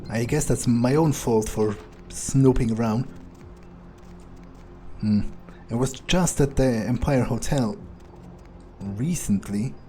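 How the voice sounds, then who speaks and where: A man playing a cold, cynical vampire, thinking aloud in a nonchalant, halting way as he plays through a game. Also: medium pitch, warm tone, faint music behind, close to an everyday voice. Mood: cynical musing. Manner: nonchalant, hesitant musing. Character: cold, cynical male vampire, casually murderous. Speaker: game streamer role-playing his character. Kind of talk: in-character playthrough monologue, thinking aloud.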